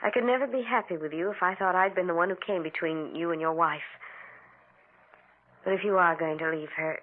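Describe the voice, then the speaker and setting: An actress playing a principled young former sweetheart in an old-time radio drama, speaking earnestly and haltingly. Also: female voice, on the low side, close to an everyday voice. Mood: earnest, firm. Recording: tinny, hissy vintage radio recording.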